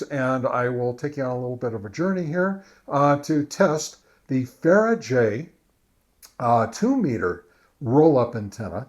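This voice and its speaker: Casual man, halting and conversational. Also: medium pitch, dry recording. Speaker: radio hobbyist reviewer and host. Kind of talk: casual on-location product test talk to camera. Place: outdoors, on a back deck and in a park.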